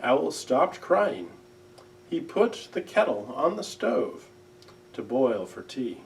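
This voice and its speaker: A man narrates a children's storybook at a slow, measured pace. His storytelling is gentle and expressive, with lively pitch movement. He sits a little way from the mic, and there is faint noise in the recording. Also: creaky, far from the mic. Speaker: library director reading aloud.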